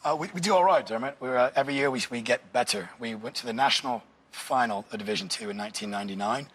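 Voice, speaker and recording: A man in his thirties with an American accent softened by years in Wales, a quiz contestant who hesitates and reasons out loud. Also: mid-range voice, fast, breathy edge. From northwest United States. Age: 30s. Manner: hesitant, thinks aloud, repeats words. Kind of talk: quiz show chat.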